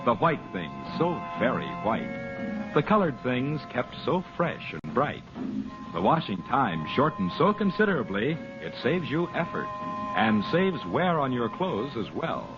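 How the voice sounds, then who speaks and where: A man reading as an old-time radio announcer and pitchman. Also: sing-song, a little slow. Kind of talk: 1940s radio advertising read. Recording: muffled vintage radio, music behind.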